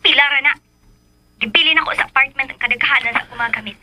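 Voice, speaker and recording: An actress playing a scheming, possessive spurned lover in a radio drama, taunting and demanding. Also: female voice, sits high, fast, bright, forceful tone, dry recording, exaggerated voice. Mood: taunting, threatening.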